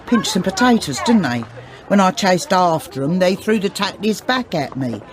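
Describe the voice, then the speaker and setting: An actress playing a cantankerous, middle-aged market stallholder in a radio drama, with a working-class English accent, scolding and indignant. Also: female voice, fairly low pitch, sing-song, moderate pace. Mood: indignant, scornful. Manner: scolding, indignant, wheedling when it suits. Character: cantankerous, aggrieved female market stallholder, sharp-tongued and evasive. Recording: radio drama, busy street crowd behind.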